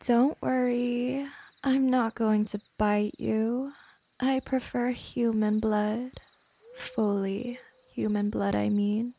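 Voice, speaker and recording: A woman plays a smug, seductive female vampire in an intimate ASMR roleplay. Her voice sits fairly high yet soft and dark, with a gravelly fry. She speaks slowly and condescendingly, like a gentle predator in full control. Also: fairly even intonation, full character voice. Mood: amused, smug. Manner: slow, condescending, seductive, breathy drops into whisper. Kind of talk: ASMR roleplay, scripted monologue to the listener.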